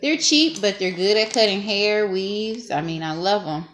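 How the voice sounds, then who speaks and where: A woman's voice: medium pitch, a little slow, fairly bright tone. Chatty young woman in an echoey room. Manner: chatty, self-teasing, laughs often. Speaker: hair tutorial vlogger. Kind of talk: casual talk-through tutorial. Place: small dim room at home. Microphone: camera propped low in front. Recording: echoey room, faint noise.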